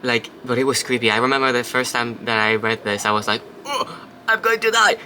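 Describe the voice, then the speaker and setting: A man plays through a story game, reading each line aloud in a bright, forward voice. Between passages he drops into loose chat and the odd curse. A faint noise hums behind him. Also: medium pitch, animated, fairly quick. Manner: reads narration, then chats and swears casually. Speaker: let's play host. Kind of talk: casual game playthrough, reading text aloud.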